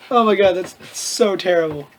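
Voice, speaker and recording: A man's voice: sits high, a bit bright, slight background noise. A man reading a story aloud in a casual comedic way, mock-dramatic and often breaking off to riff. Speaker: host reading fan fiction aloud with commentary.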